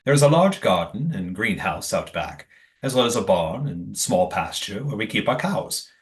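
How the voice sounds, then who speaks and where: A man playing a calm, secretive leader of a commune in an audio play, speaking in a formal, measured, evasive way. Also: on the low side. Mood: guarded, matter-of-fact.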